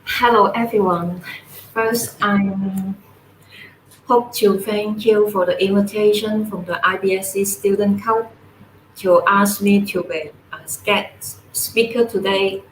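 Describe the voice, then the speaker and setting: Slow, gentle Cantonese-accented woman. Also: mid-range voice, fairly even intonation, reverberant, a little hiss or hum, poor audio. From Hong Kong. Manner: slow, gentle explaining with soft laughs. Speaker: guest speaker. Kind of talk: online panel talk.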